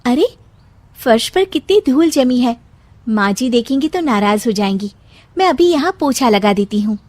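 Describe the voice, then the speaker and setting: Woman putting on an eager, high-pitched young daughter-in-law. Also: expressive, normal speed, a little mellow, no room sound. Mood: eager, dutiful. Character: cheerful, dutiful young daughter-in-law, a bandit's daughter.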